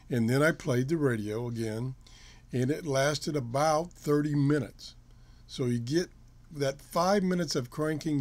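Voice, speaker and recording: A man's voice: mid-range voice, a little mellow. A middle-aged man hosting his home radio show, casually talking through test results with fillers and self-corrections. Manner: plain-spoken, rambling with fillers and self-corrections. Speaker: radio show host reviewing gear. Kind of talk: casual product review talk.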